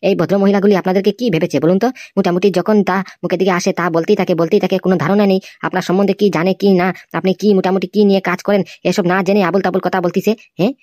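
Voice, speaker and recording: A woman's voice: medium pitch, flat delivery, rapid-fire, few pauses, dark tone, no echo, clean studio sound, lightly acted. A woman voicing an indignant, fiercely loyal cartoon employee, insistent and confrontational, full of tag questions. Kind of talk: amateur cartoon dialogue.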